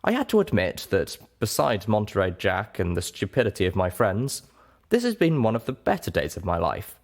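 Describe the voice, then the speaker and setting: A man's voice: lowish voice, wildly swooping pitch, normal speed, a little mellow. A man reading a first-person story aloud as its narrator. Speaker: story reader.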